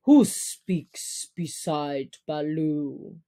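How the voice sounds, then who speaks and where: A woman voicing a stern male wolf who leads his pack, calling out solemnly and in measured phrases in a storybook reading. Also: sits low, warm tone, pitched below the performer's own voice, exaggerated voice. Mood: solemn, commanding.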